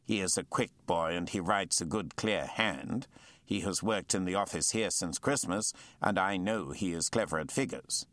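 A man's voice: sits low, moderate pace, fairly bright tone, dry recording. A man voicing an eager, ingratiating shipping agent who speaks politely.

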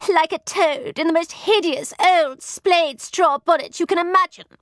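Woman playing haughty, high-pitched melodramatic young lady-in-waiting. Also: expressive, moderate pace, dry recording, clean studio sound. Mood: snappish. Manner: gushing, indignant, exclamatory.

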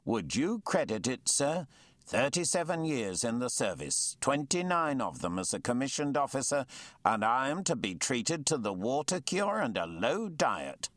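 A man's voice: mid-range voice, very animated, a little slow, fairly bright tone, no echo, voice pushed up. A man doing a blustering old lieutenant. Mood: indignant. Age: 50s. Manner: blustering, indignant, old-fashioned. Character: grumbling old male navy lieutenant.

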